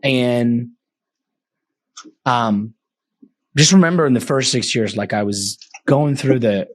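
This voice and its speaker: A man's voice: medium pitch, takes it slowly, no echo, low-quality recording. A man in his thirties from Iraq, a co-host, telling a personal story in an earnest way. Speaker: podcast co-host. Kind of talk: casual podcast interview.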